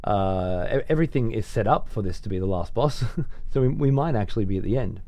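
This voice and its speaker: A hoarse man casually commenting on a game he is streaming, rambling and swearing freely. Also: on the low side, animated, fairly quick, dark tone, no echo.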